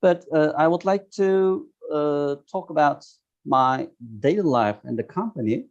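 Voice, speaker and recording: Gravelly Turkish man on a video call. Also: on the high side, lively intonation, a little mellow. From Turkey. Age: 30s. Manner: halting, searching for words. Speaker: guest, software tester. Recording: dull lo-fi video call audio.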